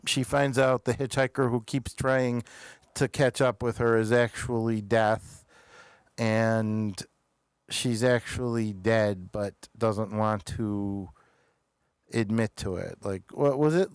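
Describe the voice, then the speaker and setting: A man's voice: medium pitch, darkish tone, smooth, dry recording. A man hosting a casual review chat, often hesitant as he searches for words and details. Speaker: show host reviewing television episodes.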